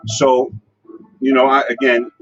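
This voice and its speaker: A man's voice: mid-range voice, completely flat delivery, measured pace, fairly bright tone. A man hosting a casual advice livestream, talking with many fillers and restarts. He says himself that he has a strong voice. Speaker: host. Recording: lo-fi with music behind. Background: guitar music.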